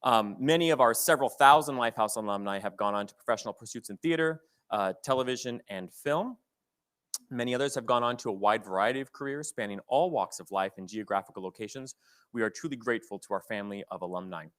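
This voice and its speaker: A man's voice: mid-range voice, fast, fairly bright tone. A man hosting a live event, giving a presentation speech and introducing performers. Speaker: event host announcing performers.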